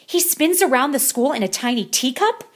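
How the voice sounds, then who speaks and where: A woman plays a storybook kitten boy who fancies himself a chihuahua. He is exuberant and theatrical, with a high, swooping little voice that squeals with eagerness. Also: expressive, moderate pace. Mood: bold, astonished. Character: eager, bouncy young Siamese kitten boy, playing chihuahua.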